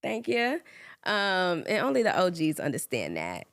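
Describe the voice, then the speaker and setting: A woman's voice: lowish voice, huge pitch swings, unhurried, gravelly. A young woman from Georgia, hosting a casual podcast chat in an upbeat, talkative way with many ums. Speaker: podcast host.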